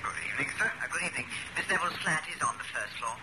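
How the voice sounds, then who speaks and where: An actor playing a courteous, terse, guarded gentleman visitor in a radio thriller. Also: mid-range voice, expressive, rapid-fire, lightly acted. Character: polite, guarded gentleman visitor hiding his purpose. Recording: very noisy radio, heard as if relayed.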